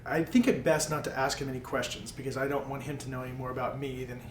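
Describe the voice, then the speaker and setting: A man's voice: medium pitch, fairly even intonation, quick pace. A man playing a tabletop roleplaying game, speaking casually as his character and describing his actions, recorded with roomy echo and some noise.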